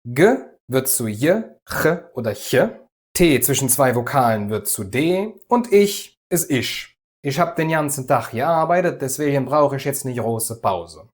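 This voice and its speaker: Calm man explaining a language lesson. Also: mid-range voice, a little slow. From Düsseldorf area, Germany. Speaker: language teacher, host.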